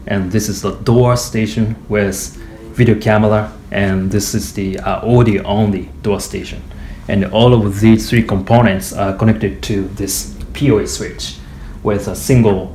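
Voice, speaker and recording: A man giving an informal product demonstration in a hesitant, halting way with frequent pauses. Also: fairly low pitch, a little mellow. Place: small indoor office or meeting room. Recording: echoey room, faint background noise.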